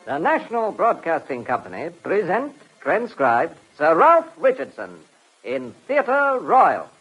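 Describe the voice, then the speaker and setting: A man's voice: a little high, wildly swooping pitch, measured pace, a bit bright, faint music behind, low-quality recording. A man announcing an old radio programme in a grand, emphatic style.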